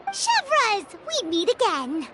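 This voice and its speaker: A woman voicing a tiny, curious, eager fairy-like girl companion in a video game, with a squeaky childlike voice. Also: wildly swooping pitch, slow, heavy background noise, full character voice. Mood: chirpy. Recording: music under the voice.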